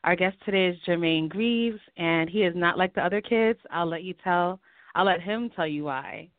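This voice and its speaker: A woman hosts an interview show over a thin phone line. Her voice is fairly low and dry, with no room sound. She introduces her guest and asks him questions in a friendly, encouraging way. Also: normal speed, no echo. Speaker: host of an internet radio show. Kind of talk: interview hosting.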